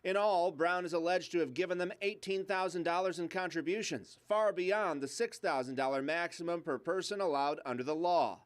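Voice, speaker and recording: High-voiced American male TV reporter. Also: moderate pace, hardly any pauses. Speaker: TV investigative reporter. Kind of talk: scripted news report.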